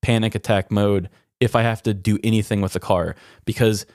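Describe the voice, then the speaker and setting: A man's voice: lowish voice, steady tone, fairly quick, darkish tone, no room sound, studio quality. A man hosting a podcast, talking in a candid, reflective and self-deprecating way. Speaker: podcast host. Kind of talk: candid personal conversation.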